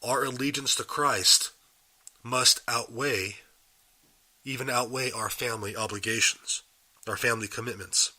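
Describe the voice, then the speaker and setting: A man hosting a daily Bible podcast while getting over a cold, his voice still a little raspy, explaining a passage in earnest and putting questions to his listeners. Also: mid-range voice, fairly even intonation, measured pace, sharp tone. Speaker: podcast host. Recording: live and unedited.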